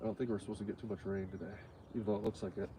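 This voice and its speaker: Guy with a blocked-up nose rambling while he fishes, kinda low voice, out on the water with faint bird noise. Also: fairly even intonation, very fast. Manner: rambling, many fillers and restarts. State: stuffy nose. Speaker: fishing livestream host. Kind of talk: casual live fishing commentary. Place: outdoors on a lake, fishing.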